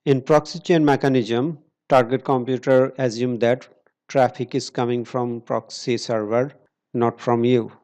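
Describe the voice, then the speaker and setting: Slow, steady man walking through a tutorial. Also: mid-range voice. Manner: slow, step-by-step instructions with pauses and fillers. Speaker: tutorial presenter. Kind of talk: screen-recorded tech tutorial.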